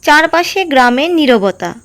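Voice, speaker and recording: A woman narrating a cartoon moral story in a steady, gentle way, with faint music behind. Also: sits high, moderate pace. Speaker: narrator and voice of Seema.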